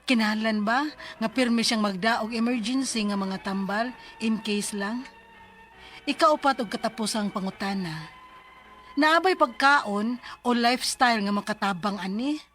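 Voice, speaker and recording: A woman's voice: on the high side, unhurried, a bit bright, soft background music. An actress playing an anguished mother in an emotional, pleading radio drama style. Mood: troubled, earnest. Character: anguished mother pleading for her sick adult child. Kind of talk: 90s Bisaya radio drama acting.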